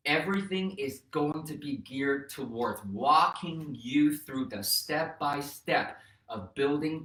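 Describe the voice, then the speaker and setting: A man's voice: mid-range voice, fairly bright tone. A man in his thirties whose first language is Mandarin, hosting a motivational talk and earnestly encouraging his viewers. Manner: earnest, encouraging, addresses viewers as "you guys". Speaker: host, real estate educator. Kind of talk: motivational vlog talk to camera. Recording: roomy echo, mic at a distance.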